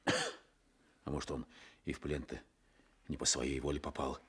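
A man's voice: very deep voice, little pitch movement, measured pace, a bit bright, breathy edge, heavily acted. An actor playing a brooding, blunt village man with earthy colloquial speech in a Soviet radio drama. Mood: bitter, guilty. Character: brooding, blunt, guilt-ridden village man recovering from illness.

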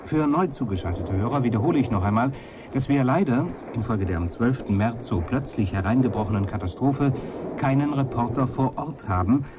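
An actor in a radio play playing a formal, diplomatic news presenter with a measured, placating manner. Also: male voice, mid-range voice, lively intonation, natural voice. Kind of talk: acted radio news broadcast. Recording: 1980s radio drama, faint noise.

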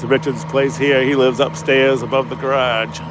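A man with a Maine accent talks offhandedly while filming from his phone in the snow. His voice sits at a middling pitch. A truck engine rumbles loudly behind him. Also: flat delivery, moderate pace. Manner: offhand, chatty commentary. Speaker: vlogger filming. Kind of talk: casual narration while filming. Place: outdoors on a snowy driveway in winter. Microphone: handheld phone camera. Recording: loud engine noise outdoors. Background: running truck engine.

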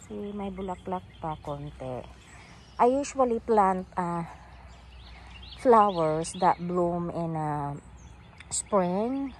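A woman's voice: on the low side, darkish tone, a little hiss or hum. A middle-aged woman with a Filipino accent gives a casual walking tour of her front garden outdoors, talking into her phone and switching between English and Tagalog. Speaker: vlogger giving a garden tour. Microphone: phone camera held while walking.

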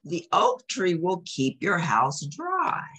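A woman voicing a big, gentle, protective bear in a picture-book read-aloud, speaking earnestly and reassuringly. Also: fairly low pitch, wildly swooping pitch, slow pace, husky, exaggerated voice. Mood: kindly.

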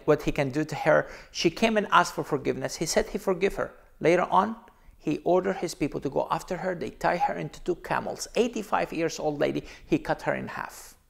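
A man's voice: on the high side, creaky. A middle-aged man, a native Arabic speaker with an Arabic accent, explaining emphatically to camera. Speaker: pastor. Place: small indoor room, seated.

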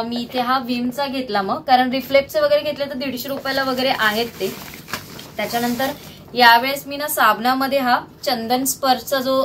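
A woman speaks in a fairly high voice. She talks casually to her viewers, going through things one by one in an explanatory way. Faint music plays behind her as she records in a room at home. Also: fairly bright tone. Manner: explanatory, itemising. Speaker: vlogger. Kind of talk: casual product haul chat to viewers. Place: small indoor room at home, seated on the floor.

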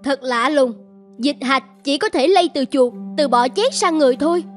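Cartoon medical woman, voiced by an actress, high voice, formal, polite, measured, faint music behind. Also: close to an everyday voice. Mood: doubtful.

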